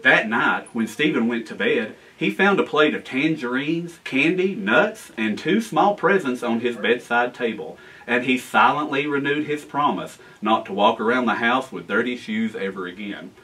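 An American man in his forties reading a children's storybook aloud in an animated, expressive way. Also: medium pitch, normal speed, few pauses, far from the mic, rough recording. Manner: animated, expressive reading with asides to young listeners. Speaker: guest storyteller, town official. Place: library room with bookshelves, indoors.

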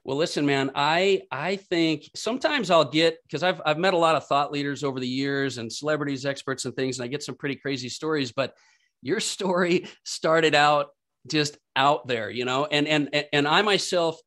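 A man's voice: fairly high pitch, moderate pace. Rambling male podcast host. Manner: rambling, repeats words, warm affirmations. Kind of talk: casual interview.

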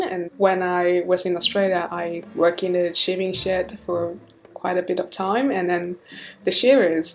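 A woman's voice: fairly low pitch, rough recording. A woman whose native languages are Mandarin and Cantonese tells a story as a listener, laughing often. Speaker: listener contributor.

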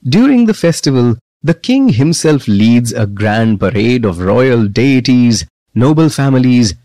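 A woman voicing a grown father in a children's story, firm but fond, with a measured, declamatory way of talking. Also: a bass voice, dark tone, dry recording, pitched below the performer's own voice, full character voice.

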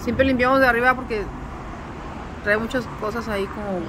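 A woman's voice: on the high side, fairly even intonation, a little fast. A woman with a Mexican Spanish accent chatting playfully and saltily with friends as a casual vlogger. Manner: playful, salty slang. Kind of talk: casual vlog chat with friends. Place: outdoor covered restaurant patio by a street. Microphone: handheld phone. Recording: outdoor phone recording with traffic noise.

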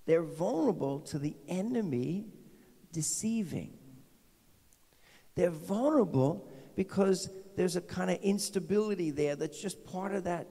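A middle-aged pastor with a New Jersey accent gives a chatty homily into a handheld microphone, full of restarts and questions to the pews. His voice sits a little high, yet it sounds mellow and gravelly. A long church reverb trails after his words. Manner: informal, repetitive restarts, asks the congregation questions. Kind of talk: conversational homily. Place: large decorated church sanctuary.

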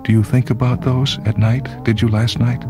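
Male reader as yearning, nervous woman in a short story. Pitched up, earnest, pleading. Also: level tone, moderate pace, music in the background, close to an everyday voice. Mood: earnest, challenging. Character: anxious, yearning unmarried woman in love.

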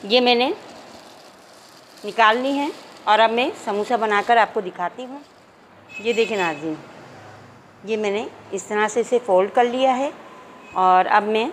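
A middle-aged woman with an Urdu accent calmly explaining a recipe step by step to viewers in a home kitchen. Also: a little high, slight background noise. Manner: calm, instructive, addresses viewers as nazreen. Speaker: cooking channel host. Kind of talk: step-by-step cooking instructions.

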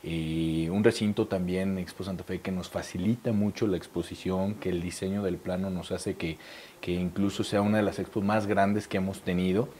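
A man in his thirties with a Mexican accent speaks in a low, mellow voice. He explains his event fluently and persuasively, dropping in small fillers. The sound is clean studio audio from a lapel mic. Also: little pitch movement, normal speed, slight background noise. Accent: Mexican Spanish. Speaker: event organizer. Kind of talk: studio interview.

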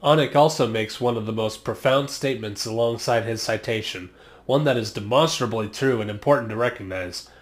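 A man in his thirties, a marketing vlogger, delivering a scripted explainer in a measured, earnest way from a small home studio on a studio microphone. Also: mid-range voice. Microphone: studio condenser mic on stand, just off frame.

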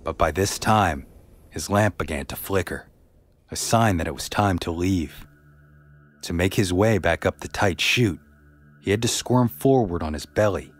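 A man narrating a scripted true story as a podcast host, in a measured, somber way. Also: sits low.

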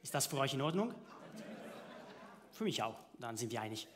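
Italian-accented man, lively comedy delivery. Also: mid-range voice, normal speed, a faint crowd. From Italy (Milan). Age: young adult. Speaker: stand-up comedian. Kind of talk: stand-up comedy on stage. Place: comedy stage before a live audience.

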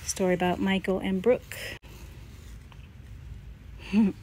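A woman's voice: mid-range voice, fairly even intonation, slow pace, warm tone. An American woman in her late forties giving a casual, chatty, nostalgic and self-deprecating show-and-tell commentary. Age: late 40s. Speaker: vlogger showing a personal scrapbook. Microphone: handheld phone. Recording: noisy handheld home phone recording.

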